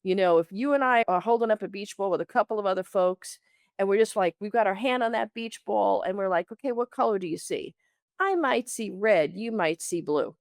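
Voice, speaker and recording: A woman from the Bronx speaks as a coach being interviewed, in a mid-pitched voice with a very dry sound. Her talk is conversational, and she slips into imagined quotes to make her points. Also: brisk, dry recording. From the Bronx, New York, United States. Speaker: interview guest, leadership coach.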